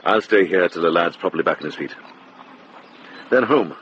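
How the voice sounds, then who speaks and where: A very deep-voiced older monk, kindly and wry, with expressive, lively intonation. He speaks unhurriedly, like a wise healer in a radio drama, on a slightly muffled recording with clear noise. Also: moderate pace. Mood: assured.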